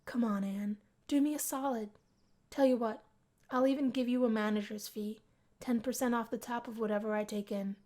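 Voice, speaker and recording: A cocky, friendly young street musician from a visual novel, acted out by a woman. He talks casually and coaxes like a smooth operator. He sounds easygoing and sure of himself. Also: a little high, fairly even intonation, normal speed, a little mellow, lightly acted. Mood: coaxing, casual. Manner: casual, coaxing, smooth-talking. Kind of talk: visual novel character voicing.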